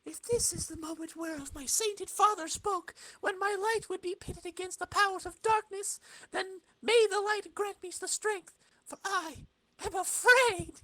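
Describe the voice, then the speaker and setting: A fearful, earnest young comic-book heroine, put on by a man, declaims in solemn, lofty phrases. The voice is pitched far up and bright, in a dry recording. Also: a little slow, no room sound, heavily acted. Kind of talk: amateur dramatic comic-book reading.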